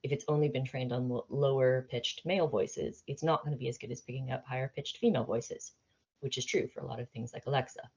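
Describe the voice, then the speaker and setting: An American woman speaks to a business audience in an informal, conversational way with dry humour. Her voice has a husky, slightly rough edge. The recording of the live talk sounds dull and muffled. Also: very deep voice, steady tone, fast talker, a little mellow. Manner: conversational, wryly humorous. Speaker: conference speaker on AI.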